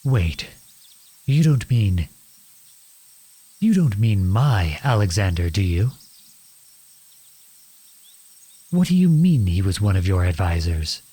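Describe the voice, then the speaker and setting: A man playing a defiant young outlawed prince in a dramatic audio roleplay, speaking earnestly and deliberately in a husky voice. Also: lowish voice, sing-song, mellow, exaggerated voice. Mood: conflicted, disbelieving. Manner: earnest, deliberate, pleading and accusing. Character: defiant outlawed young prince confronting his cruel king father.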